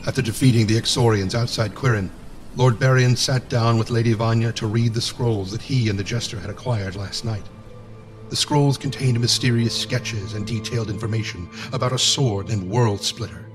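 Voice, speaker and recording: A man narrating a scripted fantasy game story in a measured, solemn storytelling manner. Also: medium pitch, faint music behind, lightly acted.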